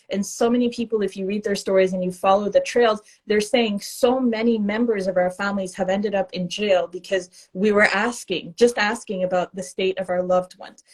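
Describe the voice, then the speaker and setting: A woman journalist giving news updates on a live stream, earnest and emphatic as she presses her points. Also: mid-range voice.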